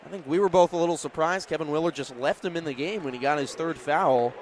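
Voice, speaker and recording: A man's voice: on the high side, fast, a little hiss or hum. An American man doing live basketball play-by-play, calling the action emphatically in short clipped phrases. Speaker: play-by-play announcer.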